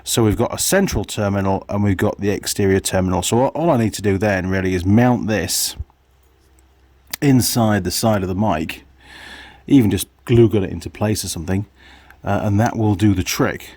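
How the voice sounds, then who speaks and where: Male hobby mechanic vlogger, lowish husky voice, chatty workshop narration, half muttered to himself. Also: normal speed, a little mellow, no room sound. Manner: muttering to himself and viewers while working. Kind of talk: casual hands-on workshop commentary.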